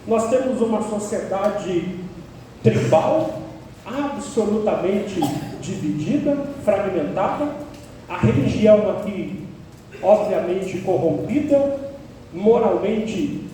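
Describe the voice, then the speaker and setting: A man's voice: very high voice, far from the mic, slight background noise. Man preaching in an echoey church hall. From Paraná, Brazil. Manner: emphatic, builds to sharp peaks on key words. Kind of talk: expository sermon. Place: church sanctuary.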